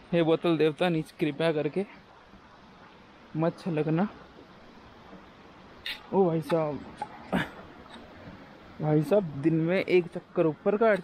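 A young man with a slightly high voice keeps up a joking running commentary as he walks a forest trail. His words come through a handheld action camera out of doors, with faint outdoor sounds behind him. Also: warm tone, a little hiss or hum, low-quality recording. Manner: chatty, joking running commentary to himself while walking. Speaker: travel vlogger. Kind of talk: casual hiking vlog commentary.

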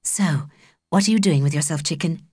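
Woman reader as soothing British mother in children's fiction, gentle, coaxing, low-ish voice, dry recording. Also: normal speed, slightly rough, dry recording. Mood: affectionate. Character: kindly, soothing little mother, vicar's wife.

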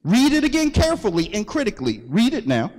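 A man's voice: high voice, huge pitch swings, fast, darkish tone. A man preaching a sermon, stopping to call for scripture readings and repeating the verses back to the congregation in a large hall. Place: large hall at a religious gathering. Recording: long echo of a large hall.

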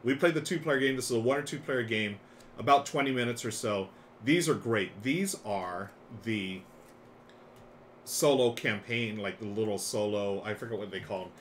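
Middle-aged man, lowish pitch, enthusiastic live-stream game host, casual delivery, noisy room recording. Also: moderate pace. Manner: enthusiastic, chatty. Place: small room lined with board games. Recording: clear steady background noise.

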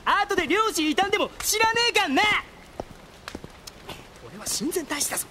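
A man's voice: very high voice, big pitch swings, moderate pace, sharp tone, slight background noise, full character voice. Brash, sulky teenage noble boy. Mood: sulking. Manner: brash, rough slangy speech, petulant. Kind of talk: Japanese anime audio drama acting.

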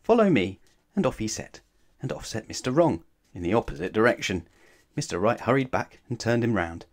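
Casting: male bedtime-story narrator, expressive and very lively in pitch, leaning on words for drama. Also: fairly quick. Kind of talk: expressive children's read-aloud.

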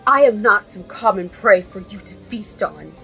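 A woman's voice: mid-range voice, lively intonation, normal speed, gravelly, over music. A woman playing a proud, defiant elf in a horror audio drama, with haughty, formal, theatrical speech. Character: proud, defiant elf fighter under attack.

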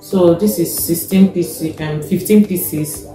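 A young Nigerian woman with a Nigerian accent, casually showing her grocery haul, taking her time, checking a receipt and often pausing with fillers. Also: fairly low pitch, fairly even intonation, mellow. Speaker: vlogger. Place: home kitchen, indoors. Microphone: fixed camera in front. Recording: echoey kitchen, faint music.

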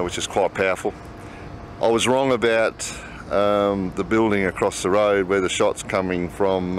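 A middle-aged man narrating his travels casually to a camera held at arm's length outdoors. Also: low-pitched. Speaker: travel vlogger. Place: outdoors by motel and city streets. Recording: noisy outdoor camcorder audio, street sounds.

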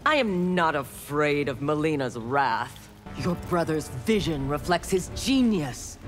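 Cold cyborg ninja, haughty, menacing, slow and deliberate. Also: female voice, deep voice, expressive, faint music behind. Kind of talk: video game battle banter.

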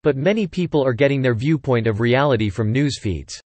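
Guy reading out english lesson examples, lively up and down pitch, dry close sound, says each line twice. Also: dry recording. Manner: repeats each sentence slowly and evenly. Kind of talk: reading example sentences and definitions aloud.

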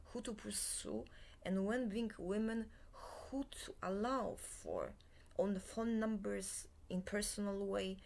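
Slow, halting Polish-native woman. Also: mid-range voice, a little mellow. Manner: halting, many filler pauses, often half-whispered. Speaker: self-help lecturer. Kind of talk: slow unscripted monologue to webcam. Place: small room in a bed and breakfast. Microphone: laptop webcam.

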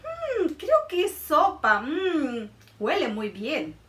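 A woman voicing a curious, excited little girl who squeals her exclamations. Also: sits high, drawn-out words, pitched above the performer's own voice, heavily acted. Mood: pleased. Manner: squealing, wide-eyed exclamations. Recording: roomy sound from a distance.

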